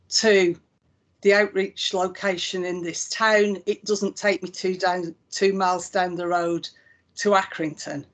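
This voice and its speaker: A woman with a Lancashire accent leads a live walkthrough of a website. She talks through each click in a relaxed, conversational way with plenty of ums. Her voice sits at an ordinary middle pitch. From Northwest England. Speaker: chief executive demonstrating a website. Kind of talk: live software demonstration.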